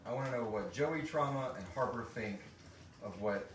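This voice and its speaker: A man's voice: medium pitch, moderate pace. A man hosting a casual live question-and-answer chat, reading out listener questions in a jokey way. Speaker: host and podcast creator reading listener questions. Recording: roomy, echoey live recording with background noise.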